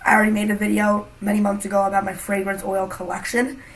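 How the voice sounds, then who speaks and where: A woman's voice: mid-range voice, completely flat delivery, fast, low-quality recording. A young woman with a cold, chatting casually to camera as a fragrance reviewer, rambling with lots of fillers. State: has a cold, a bit congested.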